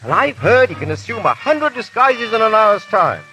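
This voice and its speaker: Awestruck gossip, high and swooping, old radio drama with music. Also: male voice, very animated, normal speed, bright, forceful tone, exaggerated voice. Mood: excited awe. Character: gossipy, awestruck English admirer of a masked hero. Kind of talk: 1930s radio acting.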